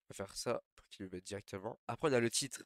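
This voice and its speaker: A man's voice: lowish voice, fast talker, dry recording, clean studio sound. Young man with a stuffy cold. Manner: relaxed, rambling with many fillers. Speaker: tutorial host. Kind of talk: casual screen tutorial.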